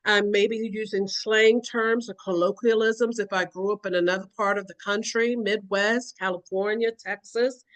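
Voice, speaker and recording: A woman's voice: medium pitch, steady tone, normal speed, few pauses, poor audio. Woman, educator, earnest explanatory talk, acts out examples.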